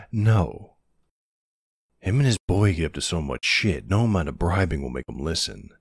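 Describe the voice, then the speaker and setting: Man playing a very deep, gravelly older boyfriend. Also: sing-song, measured pace, no room sound, clean studio sound, full character voice. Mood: reassuring. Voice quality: husky, gravelly. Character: protective, gruff, tender older boyfriend. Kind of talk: ASMR boyfriend audio roleplay.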